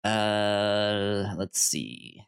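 A man's voice: on the low side, drawn-out words, gravelly, clear, resonant tone. A man co-hosting a casual movie podcast, often hesitant and thinking aloud as he goes. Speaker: podcast co-host.

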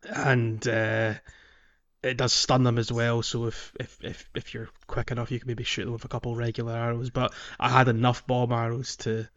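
A man's voice: mid-range voice, flat delivery, moderate pace, fairly bright tone, lo-fi sound. A man hosting a podcast, casually discussing a video game and thinking aloud as he talks. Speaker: podcast host.

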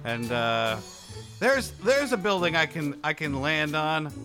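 A man improvising a comedy bit as a frightened helicopter weather reporter, halting and mock-grave as he muses on doom. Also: on the high side, very animated, pushed and bright, soft background music, full character voice. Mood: dazed, philosophical. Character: terrified helicopter weather reporter pilot, philosophizing into the abyss.

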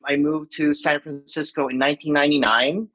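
Male podcast guest, forties, bright forward voice, slightly high, casual chat. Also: little pitch movement, brisk, rough recording. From Philippines. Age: 40s.

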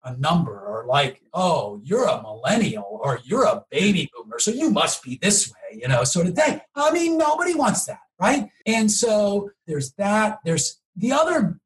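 A man explaining things conversationally as an interview guest, emphatic and often checking in with a quick "okay?" or "right?". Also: sits high, sing-song, low-quality recording. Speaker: podcast guest, marketing professional.

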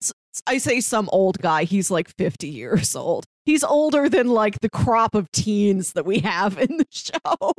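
Woman, podcast co-host, lively varied intonation, chatty and casual, dry studio-like sound. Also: mid-range voice, unhurried, no echo. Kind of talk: casual discussion of a TV show.

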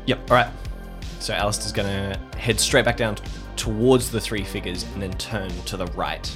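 A man's voice: lowish voice, fairly even intonation, moderate pace. A man playing in an improvised audio drama game, casually describing and voicing his character's moves. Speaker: player in an audio drama roleplay. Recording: clear music behind the voice. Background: music.

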